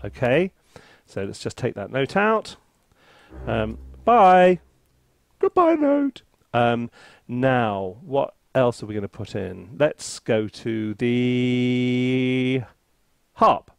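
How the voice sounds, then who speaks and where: A man's voice: medium pitch, big pitch swings, very slow, creaky, pauses often, clear, resonant tone, no echo. A man giving a casual tutorial commentary as a composer at work, thinking aloud in a playful, self-deprecating way. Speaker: composer reviewing a sample library.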